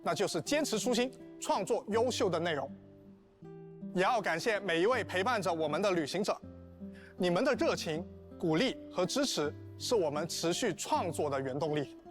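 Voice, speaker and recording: A man's voice: high-pitched, lively intonation, moderate pace. A man hosting a game broadcast, presenting formally on behalf of the publishing team. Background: soft guitar music.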